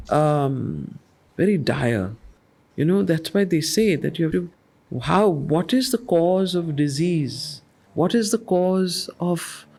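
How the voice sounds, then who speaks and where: A woman leading a study circle, reading verse slowly and then explaining it to the group in an earnest, questioning way. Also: deep voice, a little mellow. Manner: slow, measured recitation that repeats lines of verse, then conversational explaining; earnest, asks the group questions and answers them herself. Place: weekly study group gathering.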